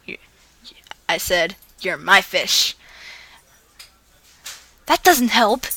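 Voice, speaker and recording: A woman with a bright, forward voice reads fan fiction out loud. She is playful and dramatizes the dialogue as she goes. The sound is close and dry. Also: mid-range voice, expressive, drawn-out words, dry recording. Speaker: fan fiction reader and video host.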